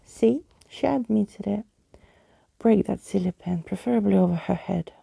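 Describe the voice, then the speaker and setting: A woman's voice: medium pitch, normal speed, creaky, mellow, husky, no echo. A woman voicing a grumpy, scornful young girl who groans and grumbles in a storybook reading.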